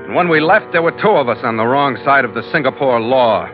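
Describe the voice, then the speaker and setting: An actor playing a terse, wry, world-weary ship's skipper in an old hardboiled radio drama. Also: male voice, mid-range voice, expressive, fast talker, over loud music, lightly acted. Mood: tense, wry. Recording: muffled vintage radio recording.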